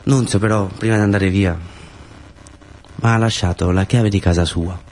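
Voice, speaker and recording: Male monologue actor, low voice, Pugliese accent, colloquial radio-theatre storytelling. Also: fairly even intonation, normal speed, a little mellow. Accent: southern Italian, Pugliese. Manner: colloquial, repetitive phrasing with short fragments. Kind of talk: dramatic first-person radio theatre monologue.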